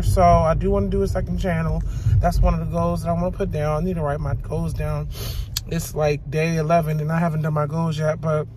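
A woman's voice: lowish voice, background noise. A young woman vlogging from her car, chatting bluntly and loosely with plenty of profanity. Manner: rambling, blunt, profane, animated. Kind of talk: casual car vlog chat.

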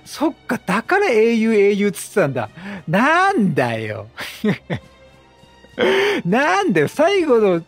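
Male let's-player: high-pitched, very animated, playful slangy commentary with a slightly rough voice over game music. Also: slow pace. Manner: playful, reactive, slangy banter with characters. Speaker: gaming streamer. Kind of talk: casual let's play commentary.

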